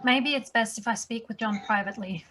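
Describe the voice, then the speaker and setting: A woman's voice: on the high side, brisk, sharp tone, a little hiss or hum. A woman playing an anxious but determined wife in a table-read drama. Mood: earnest, determined. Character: anxious, determined wife wanting to find her husband.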